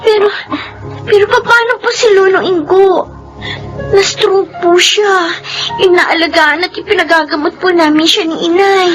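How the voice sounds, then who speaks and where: A woman's voice: very high-pitched, steady tone, unhurried, a bit bright, faint music behind, rough recording. A woman playing an eager, tearful young daughter reunited with her father in a radio drama. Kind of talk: classic radio drama acting.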